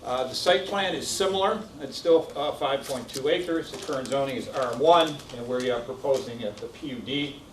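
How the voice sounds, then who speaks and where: A man's voice: mid-range voice, normal speed, hardly any pauses, a little hiss or hum. Man walking through presentation slides. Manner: businesslike with fillers. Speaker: architect presenting. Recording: echoey room, distant mic.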